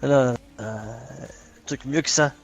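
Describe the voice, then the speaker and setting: A man's voice: mid-range voice, a little slow. A man improvising as a bar customer in online roleplay, hesitant and often repeating his words. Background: music.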